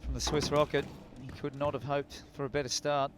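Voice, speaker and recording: A man's voice: mid-range voice, flat delivery, brisk. A man co-commentating on a squash match, analysing the play in a chatty way. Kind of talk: sports commentary. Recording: loud arena crowd and noise behind.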